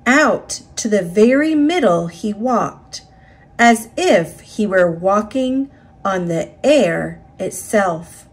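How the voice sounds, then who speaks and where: A woman reading a children's picture book aloud in a deliberate, dramatic storytelling manner. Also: medium pitch, animated, husky. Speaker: storybook reader.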